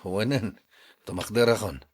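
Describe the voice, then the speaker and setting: A man's voice: on the low side, normal speed, slightly rough, no echo. A man with an Ilocano accent acting a stubborn, grumbling office worker recovering from a mild stroke in a radio drama.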